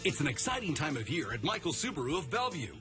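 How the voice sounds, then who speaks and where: A man's voice: fairly high pitch, big pitch swings, fast talker. A man reading a scripted, upbeat retail advert for a car dealership. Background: music.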